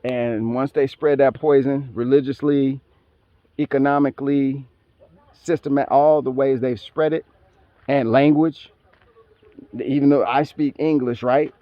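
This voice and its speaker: A man's voice: medium pitch, steady tone, unhurried, no room sound. Slangy man rambling outdoors, lo-fi. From Philippines heritage, raised elsewhere. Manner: rambling, streetwise slang, talks back to animals. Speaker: vlogger. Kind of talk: casual outdoor monologue.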